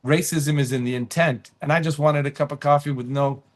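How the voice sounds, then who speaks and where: A man's voice: a little high, fairly even intonation, fairly quick. A man chatting casually as a comedian guest in an interview, telling stories and slipping into quoted voices. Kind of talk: casual interview chat with anecdotes.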